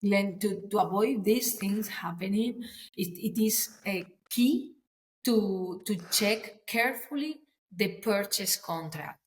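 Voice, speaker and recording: A woman's voice: mid-range voice, takes it slowly, low-quality recording. Woman, lawyer explaining stuff on a podcast, earnest, english. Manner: earnest, explanatory, non-native phrasing with restarts. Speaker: property lawyer, guest.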